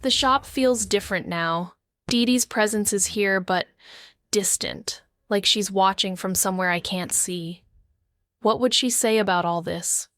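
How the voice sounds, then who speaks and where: Young female lead played by an actress, wounded and wry, smooth clear voice, close dry sound, intimate noir monologue. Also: mid-range voice, measured pace, fairly bright tone, no room sound, lightly acted. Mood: distant, focusing. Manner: wry, introspective, profane. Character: shaken, wounded, sardonic young woman, med-school dropout. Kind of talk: intimate first-person audio drama monologue.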